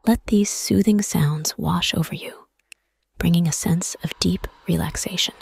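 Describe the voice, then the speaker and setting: Woman, very breathy and husky, low-pitched, soothing guided meditation, measured phrases, close dry sound. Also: a little mellow, dry recording, whispered. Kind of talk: guided meditation, soft scripted narration.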